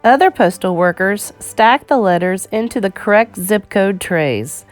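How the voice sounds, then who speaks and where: Woman reading aloud with lively intonation. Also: medium pitch, unhurried, soft background music. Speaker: children's book reader. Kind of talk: read-aloud narration of an educational picture book.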